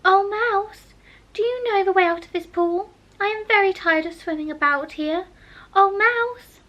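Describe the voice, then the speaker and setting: A woman's voice: extremely high pitch, normal speed, highs cut off, voice pushed up. A woman as a polite, chattering young girl. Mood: calling. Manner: eager, chattering.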